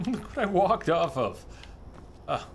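A man's voice: a little high, very animated, takes it slowly, gravelly, a little hiss or hum. A man in his thirties giving casual, easygoing live commentary as he streams a game. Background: game music.

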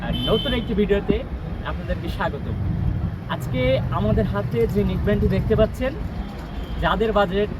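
Man, Bangladeshi accent, quite high voice, casual sales demo, shop presenter, very noisy recording with vehicles behind. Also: animated, normal speed, long pauses. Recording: loud street traffic noise behind.

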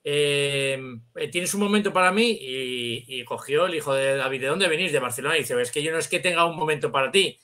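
A man's voice: fairly high pitch, fairly quick, bright, forceful tone. A middle-aged man with a Spanish accent from Spain, chatting casually and telling anecdotes in colloquial language over a video call. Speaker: guest. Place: indoor room, on a video call.

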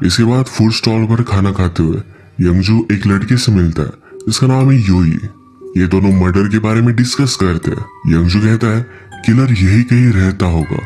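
Man, low voice, scripted movie recap narration, faint music behind. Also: darkish tone. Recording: background music under voice-over.

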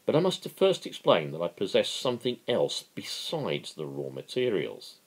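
A man voicing a working man who patiently lectures as a pretend capitalist in a novel, emphatic and explanatory, with a gravelly voice. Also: low-pitched, huge pitch swings, moderate pace, heavily acted, poor audio. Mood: lecturing.

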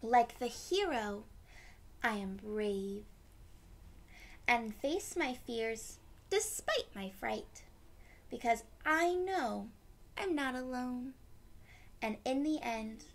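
A young woman reads a picture book to small children at an unhurried pace, with gentle, simple explanations. Her voice sits fairly high and has a creaky edge. She is recorded in a room at home. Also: expressive. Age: young adult. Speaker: read-aloud storyteller for children.

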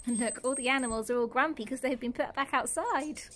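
A woman's voice: sits high, a little fast, fairly bright tone, a little hiss or hum. A young woman reading a children's story aloud as a nursery storyteller. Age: young adult. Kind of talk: animated children's read-aloud. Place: outdoors in a garden.

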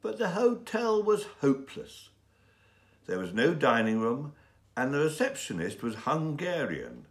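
A man reads a short story for learners, enunciating carefully and deliberately. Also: fairly high pitch, wildly swooping pitch. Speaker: story reader. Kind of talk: scripted story narration for English learners. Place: small indoor room with bookshelves and window.

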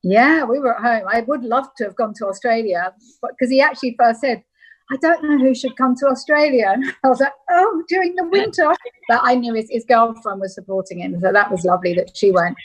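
A woman's voice: fairly high pitch, brisk, poor audio. A woman, chatting casually as a guest on a video call. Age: 50s. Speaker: guest, interviewed with husband. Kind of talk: casual chat. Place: room at home, video call. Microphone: video call device mic, shared with partner.

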